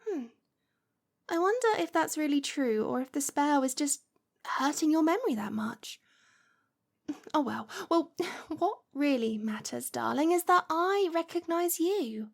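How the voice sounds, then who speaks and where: A woman's voice: sits high, expressive, unhurried, gravelly, full character voice. A woman playing a lovestruck, possessive witch in an ASMR roleplay, cooing and coaxing with a condescending sweetness. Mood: smug, coaxing.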